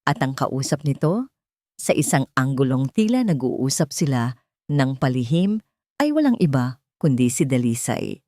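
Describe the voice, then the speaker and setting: A woman's voice: extremely low pitch, big pitch swings, unhurried, dark tone, no room sound, clean studio sound. A woman reading scripted dramatic fiction as a storyteller.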